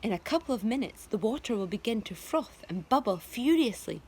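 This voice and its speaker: A teacher voicing a secretive old man who gives hushed, urgent instructions in a storybook reading. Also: fairly high pitch, lively intonation, brisk, a little hiss or hum, natural voice. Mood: mysterious. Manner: hushed, whispering, then hurrying.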